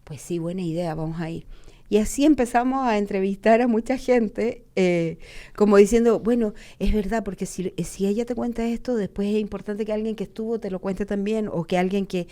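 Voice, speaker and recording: A woman's voice: mid-range voice, brisk, warm tone. A woman from Chile, a photojournalist guest, telling a story with enthusiasm and acting out the lines of the people in it. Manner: enthusiastic, acts out quoted dialogue. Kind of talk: animated storytelling.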